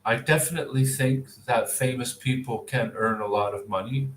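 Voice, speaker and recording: A Canadian man answering interview questions over a video call, thoughtful and filling pauses with ums and restarts. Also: mid-range voice, reverberant, rough recording. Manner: thoughtful, with frequent fillers and restarts. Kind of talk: answering mock speaking test questions.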